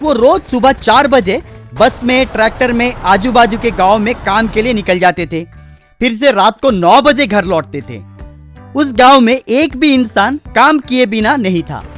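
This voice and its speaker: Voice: mid-range voice, animated, measured pace, a bit bright. A storyteller reading a scripted comic tale as narration, with music behind the voice. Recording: music under the voice. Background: background music.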